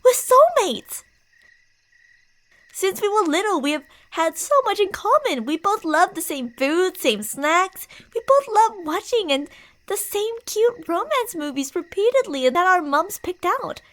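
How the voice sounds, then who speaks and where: A woman's voice: very high voice, big pitch swings, a little slow, a bit bright, exaggerated voice. A woman playing a possessive, delusional young yandere bully in an ASMR roleplay, teasing, insistent and emotionally volatile.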